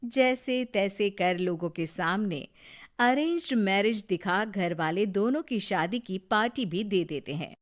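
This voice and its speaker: A woman's voice: mid-range voice, lively intonation, unhurried. A woman narrating a scripted moral story.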